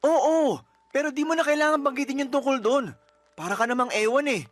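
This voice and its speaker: Exasperated young boyfriend, high-pitched. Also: male voice, fairly quick, faint music behind. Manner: argumentative, emphatic. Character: exasperated young boyfriend pleading with his fiancée. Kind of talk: Tagalog radio drama acting.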